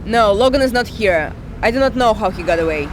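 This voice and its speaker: An actor playing an agitated Russian operative in a video game cutscene, speaking in urgent, stilted phrases. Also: male voice, high-pitched, lively intonation, brisk, noisy background.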